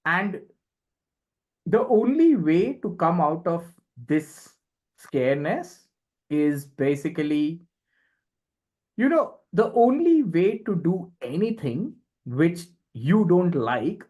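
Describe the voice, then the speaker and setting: A man's voice: a little high, big pitch swings, slow, breathy edge, narrow, tinny audio. A middle-aged man with an Indian accent explains things conversationally as a podcast guest, emphatically and with frequent short tag questions. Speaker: podcast guest, communication and leadership expert.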